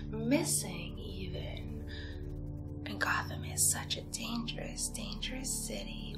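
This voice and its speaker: A woman performing ASMR roleplay as a playful, possessive villainess kidnapper, teasing and cutesy as she drifts in and out of whispers. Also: fairly high pitch.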